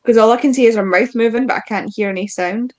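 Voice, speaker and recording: A woman's voice: a little high, fast, a little mellow. Informal, wry woman on a live stream. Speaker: co-host of a book readalong live stream. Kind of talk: casual chat. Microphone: live-stream video call.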